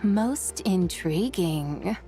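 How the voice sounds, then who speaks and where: A woman's voice: on the low side, animated, extremely slow, warm tone, soft background music, full character voice. A woman playing a haughty, playful immortal horse spirit in a video game, speaking in theatrical, formal, archaic phrases. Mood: coolly curious. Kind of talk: scripted game voice acting.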